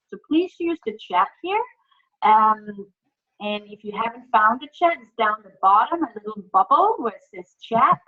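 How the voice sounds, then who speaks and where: Woman from Denmark hosting a webinar. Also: a little high. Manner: chatty, restarts often, lively questions to the audience. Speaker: workshop facilitator. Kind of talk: webinar presenting. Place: home. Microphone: video call. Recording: slightly muffled video call audio.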